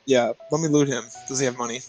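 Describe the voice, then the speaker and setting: Male gamer, medium pitch, plain-spoken casual table talk, faint music behind. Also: steady tone, quick pace, a little mellow, low-quality recording. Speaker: player in a tabletop game. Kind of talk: casual gaming chat. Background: background music.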